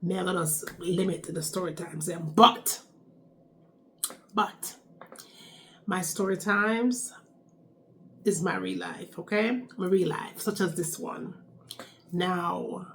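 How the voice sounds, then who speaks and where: A woman's voice: on the low side, takes it slowly, long pauses, a bit bright, slight background noise. A young Jamaican woman with a Jamaican accent, chatting casually in a storytime vlog, repeating phrases and tagging lines with "okay?". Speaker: vlogger.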